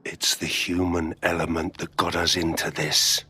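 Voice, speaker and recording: An actor playing a cold, ruthless male security company boss, speaking in a calm, controlled, menacing way. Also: low-pitched, measured pace, husky, full character voice.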